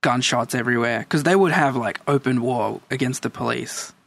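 A man's voice: mid-range voice, moderate pace, gravelly, fairly bright tone. A man in his thirties, born in El Salvador, chatting casually and telling stories as a guest. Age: 30s. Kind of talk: casual chat.

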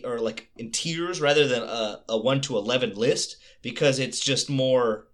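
A man's voice: mid-range voice, moderate pace. A man co-hosting a casual music podcast, talking loosely and with enthusiasm. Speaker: podcast co-host.

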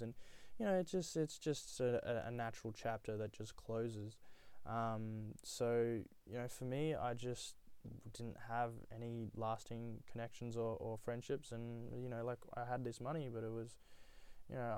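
A man's voice: medium pitch, mellow, clean tone, dry recording. A young man from Nepal, telling his own story in a reflective, rambling way with many fillers. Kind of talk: casual personal storytelling.

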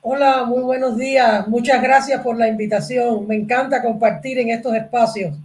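A middle-aged woman with a Cuban accent, explaining things in a measured way as a guest in an interview. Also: fairly high pitch, steady tone, a little mellow. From Cuba. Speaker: podcast guest, archivist and academic.

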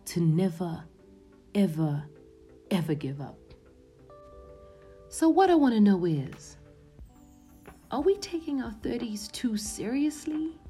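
Podcast host, woman in her 30s, mid-pitched warm tone, earnest and confiding, slips into hushed whispers. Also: breathy edge. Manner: earnest, often drops to a hushed near-whisper. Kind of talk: reflective personal monologue.

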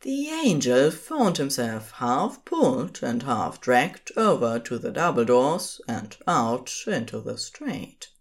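A woman's voice: very deep voice, big pitch swings, slow pace, few pauses, slightly rough. A woman reading scripted story narration as the narrator of an audio drama.

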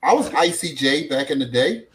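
A man's voice: medium pitch, expressive, moderate pace, a bit bright. A middle-aged man co-hosting a casual live-stream chat, upbeat and prone to repeating words. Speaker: co-host.

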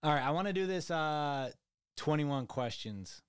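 Male podcast host, monotone, dry teasing delivery, close dry mic. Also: on the high side, unhurried, clean tone, no room sound. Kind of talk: casual chat.

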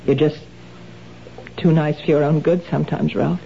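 A woman's voice: deep voice, fairly even intonation, normal speed, gravelly, mellow, slight background noise. An actress playing a nervous married woman struggling with kleptomania, in a 1970s radio drama. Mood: anxious. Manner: tense, pleading, hesitant. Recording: dull old radio broadcast.

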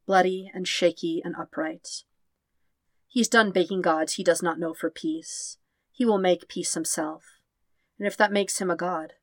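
A woman narrates a dramatic story in a fairly low voice. She delivers it in measured, short phrases as a scripted reading. The sound is dry, with no room around it. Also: dry recording. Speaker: podfic narrator. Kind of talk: scripted narration of fan fiction.

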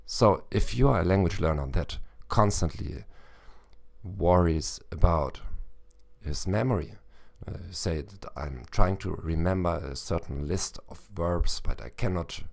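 Hoarse deep-voiced man with gravelly fry. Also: darkish tone. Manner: halting, with many "uh" fillers and restarts. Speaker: podcast host and language teacher. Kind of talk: solo educational podcast talk.

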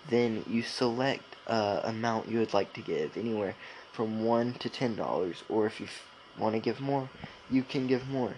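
A man's voice: lowish voice, a little hiss or hum, poor audio. A young man co-hosting a casual podcast, rambling along in informal slang with lots of filler words. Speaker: podcast co-host.

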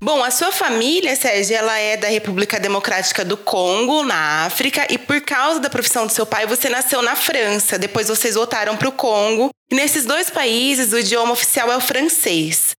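A woman hosts an interview podcast, keeping the conversation moving with short acknowledgements and follow-up questions. Her voice sits slightly high. She sounds attentive and steady. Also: normal speed, hardly any pauses, soft background music. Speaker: podcast host.

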